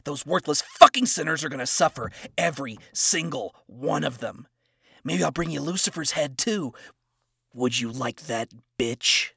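A man voicing a furious, vengeful male fallen angel who shouts threats in a raised voice. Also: monotone, takes it slowly, gravelly, hardly any pauses, husky, dry recording, clean studio sound, full character voice. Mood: menacing rage. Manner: loud, threatening, raised pitch. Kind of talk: reading fan fiction aloud.

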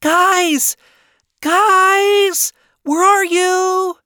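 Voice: very high-pitched, steady tone, extremely slow, sharp tone, no room sound, studio quality, pitched above the performer's own voice, heavily acted. A performer voicing an earnest, anxious young demon woman calling out urgently in a story. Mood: desperate calling.

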